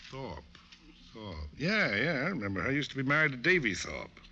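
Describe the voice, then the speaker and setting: An actor playing a stout, exasperated police lieutenant given to heavy sarcasm, in old radio banter style. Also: male voice, mid-range voice, sing-song, moderate pace, bright, forceful tone, full character voice. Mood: grudging. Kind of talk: 1940s-50s radio comic banter. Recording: dull old radio recording.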